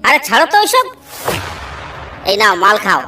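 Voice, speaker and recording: A woman's voice: mid-range voice, wildly swooping pitch, normal speed, sharp tone. A woman voicing a reckless, pushy male traveller in a Bengali cartoon, brash and coaxing, fond of liquor. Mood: coaxing, teasing. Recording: distant voice over faint music.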